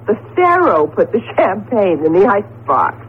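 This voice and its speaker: A woman playing a professor's affectionate, brisk wife in a 1970s radio drama, with an expressive manner. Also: mid-range voice, natural voice. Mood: teasing. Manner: playful scolding, expressive, affectionate. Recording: tinny old radio with background noise.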